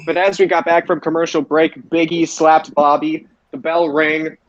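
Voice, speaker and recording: A man's voice: a little high, flat delivery, moderate pace, dull audio. A man hosting a podcast, recapping wrestling shows for his co-hosts. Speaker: podcast host.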